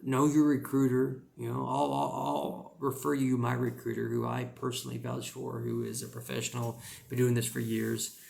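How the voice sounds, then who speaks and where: A man's voice: mid-range voice, little pitch movement, moderate pace, gravelly, few pauses, a little mellow. A man with a rural American accent giving casual, rambling advice as an English teacher, with lots of "you know" fillers.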